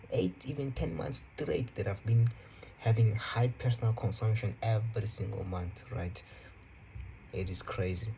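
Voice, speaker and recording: Young man, about twenty, Portuguese native speaker; mellow, relaxed, motivational vlog to camera. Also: lowish voice, gravelly, slight background noise, poor audio. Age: young adult. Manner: relaxed, unhurried, repeats phrases, fillers like "you know" and "right". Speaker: vlogger. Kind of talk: casual motivational talk to camera. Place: his room.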